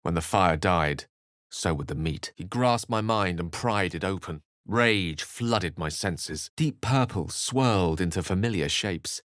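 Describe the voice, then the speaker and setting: A learned, urbane game wizard with a low voice and a close, dry sound. He speaks eloquently and with theatrical care, measuring each phrase like a practiced storyteller. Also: lively intonation, a little slow, a bit bright, no echo. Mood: grave, dramatic. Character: erudite, urbane male wizard with a theatrical streak. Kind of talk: video game character dialogue and narration.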